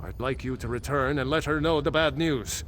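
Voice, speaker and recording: An actor playing a stern, exasperated archmage with a grave, formal manner in a fantasy video game. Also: male voice, medium pitch, normal speed, fairly bright tone, a little hiss or hum, lightly acted.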